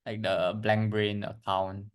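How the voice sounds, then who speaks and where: A twenty-two-year-old man from Malaysia chatting casually as a podcast guest, halting and repeating himself. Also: on the low side, little pitch movement, clear, resonant tone. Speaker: podcast guest, crypto trader. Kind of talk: casual chat.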